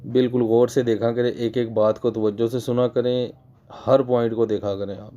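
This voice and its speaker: A hoarse man with a Pakistani Urdu accent guides viewers step by step at his sewing machine, repeating key points patiently. His voice is mellow and dark, with frequent throat-clearing. The recording sounds dull and lo-fi. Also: mid-range voice, flat delivery, normal speed. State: hoarse from a cold. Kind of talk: casual tutorial voice-over.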